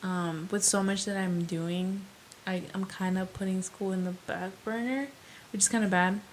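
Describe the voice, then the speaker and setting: A young woman co-hosting a casual podcast, chatty and quick to laugh, with "like" and "um" running through her talk. Her voice sits at an ordinary middle pitch. A faint hiss runs under the recording. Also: fairly even intonation. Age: young adult. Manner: chatty, laughs easily, fillers like "like" and "um". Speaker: podcast co-host. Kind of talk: casual chat.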